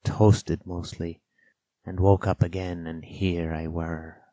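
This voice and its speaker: A man voicing a half-crazed, sly and childish old marooned sailor in a storybook, with rustic dialect, drawn-out words and sudden excitable bursts. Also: low-pitched, no room sound. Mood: wistful.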